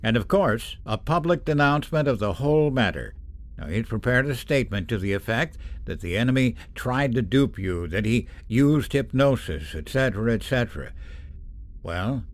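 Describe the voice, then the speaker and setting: A man playing a stern father, measured and matter-of-fact, in an old-time radio drama style. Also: medium pitch, lively intonation, lightly acted. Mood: restrained, stern.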